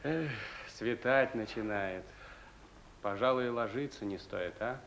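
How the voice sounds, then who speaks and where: A man's voice: mid-range voice, slow, gravelly, a bit bright, slight background noise. A male actor playing a weary, matter-of-fact young soldier in a war radio drama.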